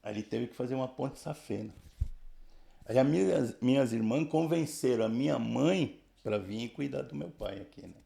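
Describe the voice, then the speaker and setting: Gravelly-voiced man in his sixties. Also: medium pitch, mellow. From Brazil, São Paulo region. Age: 60s. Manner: halting, restarts, frequent tag questions. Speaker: church member giving testimony. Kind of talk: casual life-story telling.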